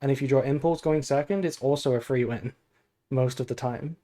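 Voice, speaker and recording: A sleepy man chatting casually on a game stream, musing aloud in a low-key way. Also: a little fast, mellow. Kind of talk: casual gameplay stream chat and deck analysis.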